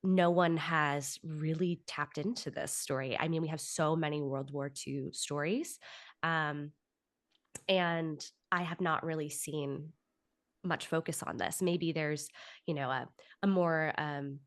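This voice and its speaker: A woman's voice: sits low, fairly even intonation, takes it slowly. A woman in her thirties speaking as an interview guest, giving articulate, reflective answers at length in a conversational style. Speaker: interview guest, author and actor.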